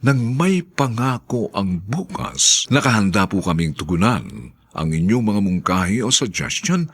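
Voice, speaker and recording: A man's voice: on the low side, sing-song, measured pace, breathy edge. A man hosting and formally announcing the credits of a radio drama.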